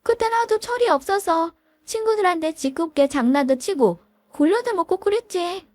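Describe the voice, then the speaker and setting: Woman putting on a shrill sneery bully girl voice, high and swinging all over, dry sound. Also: lively intonation, moderate pace, darkish tone, no echo. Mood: sneering. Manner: sneering, scornful, taunting. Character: spiteful woman, arrogant former school bully.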